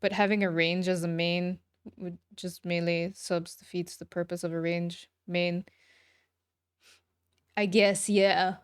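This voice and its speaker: Slow-paced woman streamer chatting. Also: on the low side, level tone, smooth, dry recording, studio quality. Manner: chatty, talking to viewers. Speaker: game streamer. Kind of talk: casual livestream chat. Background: soft background music.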